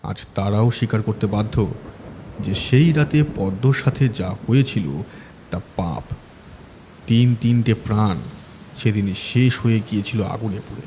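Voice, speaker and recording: Mellow male ghost-story narrator in deep reverb. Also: a little slow, slight background noise. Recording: long reverb, music underneath.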